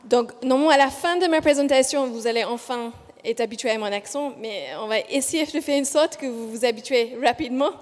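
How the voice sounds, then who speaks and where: High-voiced Australian woman presenting in a hall. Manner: speaks slowly and deliberately, with frequent hesitations and self-corrections. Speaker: physiotherapist researcher presenting a study. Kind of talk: conference presentation. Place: large conference hall. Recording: long hall reverb.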